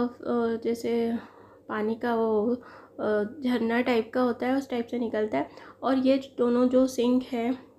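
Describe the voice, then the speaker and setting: Chatty woman vlogger, mellow tone. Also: fairly high pitch, a little hiss or hum. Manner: chatty, talks straight to viewers, admits she is no expert. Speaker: lifestyle vlogger. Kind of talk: casual product unboxing. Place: living room at home, indoors.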